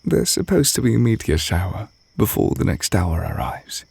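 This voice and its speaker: A man voicing a tender, reserved witch teacher in a romantic ASMR roleplay, speaking softly and hesitantly, close to the mic with crickets behind. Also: on the low side, very animated, gravelly, slightly rough, no echo, full character voice. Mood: loving, earnest. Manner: soft, intimate, hesitant, gently measured. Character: tender, reserved male witch teacher confessing love to partner. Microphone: close microphone.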